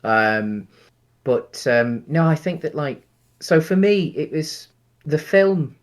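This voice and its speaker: A man's voice: fairly high pitch, lively intonation, takes it slowly. Hesitant man, casual film chat. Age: late 30s. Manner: hesitant, rambling, thinking aloud. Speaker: podcast co-host reviewing films.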